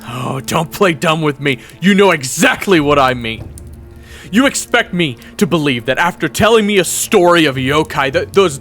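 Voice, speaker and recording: A man's voice: high-pitched, fairly bright tone, pitched above the performer's own voice. A man voicing a wary, exhausted young soldier who stammers and swears defensively, in an audio roleplay with music behind. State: exhausted and hungry.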